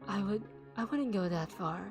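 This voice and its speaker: Young woman streamer from the Philippines, mid-pitched, chatty and loose, light music behind. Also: lively intonation, moderate pace. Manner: chatty, rambling, self-correcting asides. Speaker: VTuber streamer. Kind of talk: casual let's-play commentary.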